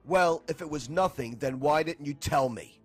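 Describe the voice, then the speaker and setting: An actor playing a stern, protective detective in a scripted soap drama, blunt and confrontational. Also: male voice, on the high side, normal speed, slightly rough, faint music behind. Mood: angry, demanding.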